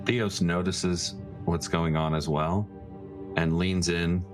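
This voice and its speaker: Male game player, very low voice, casual joking delivery, faint music behind. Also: fairly even intonation, takes it slowly.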